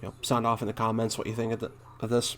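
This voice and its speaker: A man doing casual commentary as he reads game notes aloud, halting and thinking out loud, with a blunt streak. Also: fairly low pitch, completely flat delivery, slight background noise. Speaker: gaming content creator and streamer.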